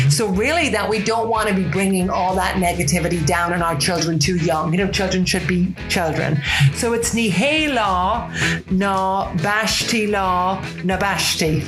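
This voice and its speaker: An Irish woman chatting on a podcast in quick, lively bursts, full of fillers. Her voice sits at an ordinary middle pitch. The room sounds roomy, and music plays behind her. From Ireland. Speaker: podcast co-host, coach. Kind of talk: casual podcast conversation.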